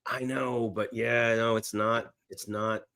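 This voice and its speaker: A man's voice: mid-range voice, flat delivery, slow, creaky, a bit bright. A middle-aged man talking casually about films as a podcast guest, enthusiastic and rambling, often repeating his phrases. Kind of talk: casual film chat.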